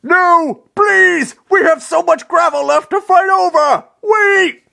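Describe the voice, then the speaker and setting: A man voicing a dim, blustering old rich man in a comic dub, pompous, earnest and excitable. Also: very high-pitched, slow, vocal fry, exaggerated voice. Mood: desperate pleading.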